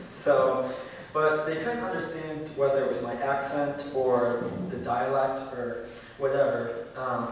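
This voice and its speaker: A teenage boy giving an informal talk to an audience, halting and full of ums and likes. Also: medium pitch. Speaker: presenter. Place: senior center hall. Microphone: distant room mic. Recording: echoey hall from far off, faint hiss.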